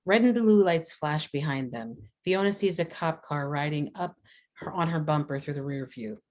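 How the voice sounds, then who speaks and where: A woman's voice: low-pitched, normal speed, darkish tone. A woman reading scripted stage directions as narrator at a screenplay table read.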